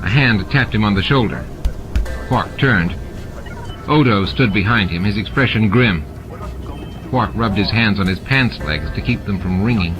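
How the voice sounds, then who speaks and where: A man reads scripted fiction as an audiobook narrator. His voice is on the low side. Prominent music sits behind the reading, in a production dressed up with sound effects. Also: expressive, moderate pace, long pauses. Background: added music and sound effects.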